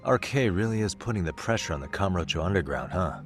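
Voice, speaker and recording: A cool, courteous investigator in a video game, with a low voice. He speaks in a measured, probing manner with soft music behind. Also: lively intonation. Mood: curious, composed. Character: cool, polite male investigator, composed and probing. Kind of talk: video game cutscene acting.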